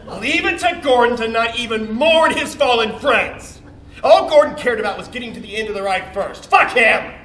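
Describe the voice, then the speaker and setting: A man's voice: a little high, lively intonation, normal speed, a bit bright. A man on stage playing a cocky, bitter young sperm cell, telling his story as a slangy, swearing, defensive bro in a dramatic comic monologue. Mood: angry, defensive. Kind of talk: theatrical comic monologue, confessional storytelling to audience. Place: indoor theatre stage, recorded from a distance. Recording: roomy, distant stage recording with faint noise.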